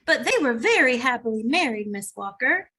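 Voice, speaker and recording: A woman reading an earnest, grieving woman client in an animated comedy mystery table read. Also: on the high side, normal speed.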